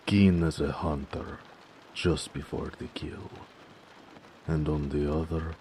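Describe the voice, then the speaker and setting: Deep, slow-talking gentle orc blacksmith, acted by a man. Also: slight background noise, exaggerated voice. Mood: brooding, narrating. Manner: unhurried, tender, murmuring, musing. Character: gentle, affectionate male orc blacksmith, romantic and soft-spoken. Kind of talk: intimate romantic audio roleplay.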